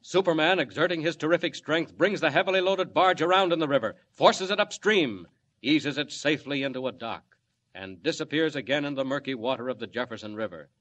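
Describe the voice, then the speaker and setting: An actor playing a dramatic announcer, declaiming narration for a 1940s radio superhero serial. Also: male voice, a little high, animated, brisk, fairly bright tone. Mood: dramatic, grave. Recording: muffled old radio recording.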